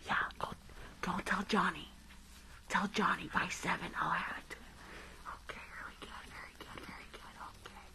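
A woman whispering close to the mic in an ASMR roleplay as a rude dentist, halting and repeating herself with dismissive reassurance. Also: fairly low pitch, fairly even intonation. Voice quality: breathy whisper with creaky edges.

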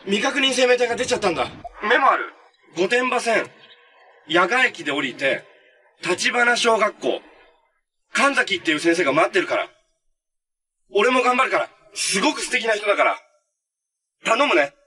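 A man's voice: sits high, sing-song, moderate pace, long pauses, sharp tone, slightly rough, full character voice. A young man acting an upbeat, kind-hearted adventurer and hero in a live-action TV superhero drama, speaking in an earnest, friendly, boyish way. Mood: urgent, determined. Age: young adult.

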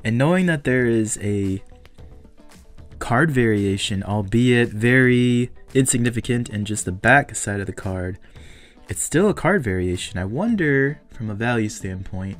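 A man casually commenting on an unboxing of collectible toy cars, speaking with eager excitement about value. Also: medium pitch, unhurried, faint music behind. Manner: enthusiastic, speculative collector talk. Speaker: toy car collector vlogger.

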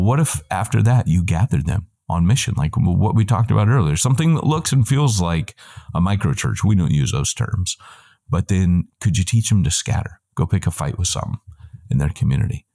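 Deep-voiced middle-aged man on a video call. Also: creaky. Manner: animated, with quick asides and self-corrections. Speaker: guest, church planting trainer. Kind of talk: long conversational answer. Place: indoor room at home. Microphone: wired earbuds on a video call.

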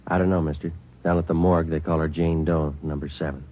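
An actor playing a terse, deadpan police detective narrating a vintage radio crime drama. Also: male voice, extremely low pitch, fast talker. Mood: matter-of-fact. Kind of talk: 1950s radio drama narration, clipped and factual. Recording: muffled old radio recording with music.

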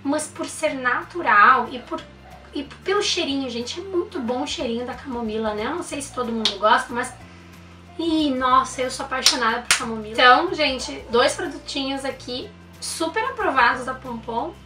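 A woman's voice: high-pitched, normal speed, echoey room, distant mic, music in the background. A young woman, reviewing baby products in a chatty, enthusiastic way and using lots of diminutives. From Brazil. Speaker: mom vlogger. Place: indoor room.

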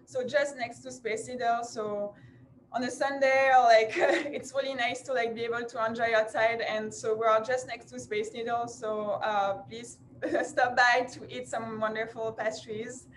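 Young woman, French accent, rather high voice, chatty bakery host, faint background hum. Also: rough recording. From France. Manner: chatty, many fillers, frequent laughs. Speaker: bakery representative. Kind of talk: live cooking demo presentation. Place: bakery kitchen.

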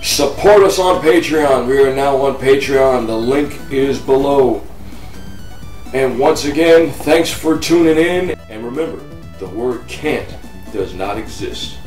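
A man's voice: mid-range voice, expressive, a little slow, darkish tone. An American man in his thirties talking casually and bluntly to camera as a game review host, repeating himself for emphasis. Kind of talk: casual opinionated vlog talk to camera. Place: living room on a couch, indoors. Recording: roomy sound, distant mic, music behind.

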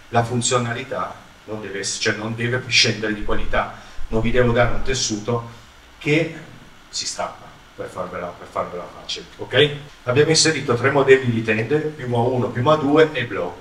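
A man's voice: on the low side, fairly bright tone. A man in his fifties from Italy giving a product training presentation in a conversational, explanatory manner, checking in with his listeners, speaking into a handheld microphone in a large conference room. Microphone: handheld microphone, recorded by a distant camera. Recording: roomy, distant, noisy conference-room capture.

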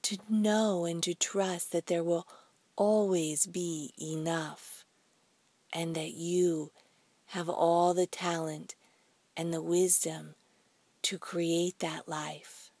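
Slow, breathy woman leading guided meditation. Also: lowish voice, flat delivery, darkish tone, dry recording. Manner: soothing, deliberate, with pauses between short phrases.

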